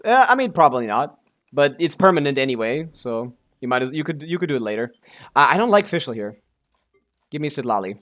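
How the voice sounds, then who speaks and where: A man's voice: mid-range voice, a bit bright. A man giving casual live gameplay commentary as a streamer, offhand and sweary, full of gamer slang. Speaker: game streamer.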